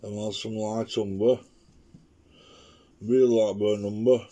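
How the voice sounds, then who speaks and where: A man with a croaky voice from an infection, chatting in a rambling way as he demonstrates watercolour painting in his living room, recorded by a camera on the table. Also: fairly low pitch, flat delivery, measured pace, mellow. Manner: rambling, chatty, talks to himself while painting. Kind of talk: casual painting demo commentary. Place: living room at home, indoors. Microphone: camera on the table, speaker off-screen.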